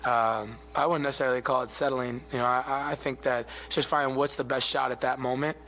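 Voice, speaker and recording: Athlete in his twenties, steady low-key answers at a postgame presser, table mic, light hum. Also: fast. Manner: soft-spoken, even, many fillers. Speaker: basketball player. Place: arena press room. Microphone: table mic in front of him.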